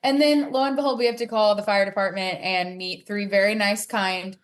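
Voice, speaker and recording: A woman's voice: mid-range voice, fairly even intonation, normal speed. A woman talking casually as an interview guest, telling stories and acting out bits of dialogue. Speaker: podcast guest, cornhole player.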